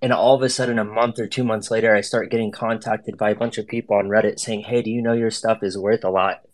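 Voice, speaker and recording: A man's voice: lowish voice, level tone, quick pace. A man speaking as a guest on an online voice chat, explaining and telling stories in a casual, slangy way. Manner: slangy, informal. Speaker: guest artist.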